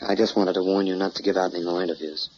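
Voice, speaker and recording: An actor playing a chivalrous, plain-spoken newspaper reporter in an old crime drama, polite, hesitant and protective. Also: male voice, deep voice, fairly even intonation, rapid-fire, darkish tone, lightly acted. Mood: awkward. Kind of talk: mid-century American crime drama acting. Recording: muffled, noisy old drama soundtrack.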